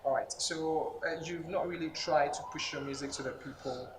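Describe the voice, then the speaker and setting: A man's voice: a little high, fairly even intonation, normal speed. A man, interviewing a musician with probing, skeptical questions. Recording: echoey room with background noise.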